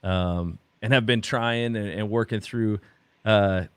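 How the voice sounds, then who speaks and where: A man in his thirties from Oklahoma, a pastor talking in a halting, reflective way with many restarts during a candid conversation. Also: on the low side, fairly even intonation, clean tone, dry recording. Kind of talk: candid conversation on a video call.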